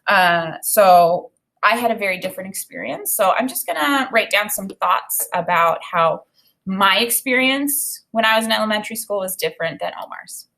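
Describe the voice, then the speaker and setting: A woman in her thirties, an elementary teacher, reading a children's novel aloud and explaining it in an expressive way. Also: mid-range voice, normal speed, gravelly, rough recording. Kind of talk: read-aloud of a children's novel with think-aloud commentary. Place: small indoor room with bookshelf and whiteboard.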